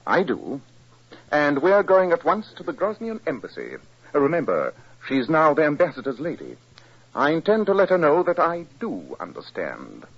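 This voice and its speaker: An actor playing an incisive, proud English detective with a British accent, precise and emphatic, in 1940s radio style. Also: male voice, fairly high pitch, huge pitch swings, normal speed, breathy edge, full character voice. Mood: stern. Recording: dull 1940s radio recording.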